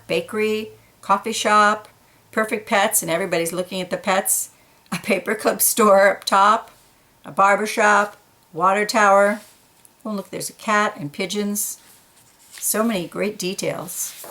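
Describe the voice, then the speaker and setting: A woman reading a children's picture book aloud, gently pointing out details in the pictures between passages. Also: mid-range voice.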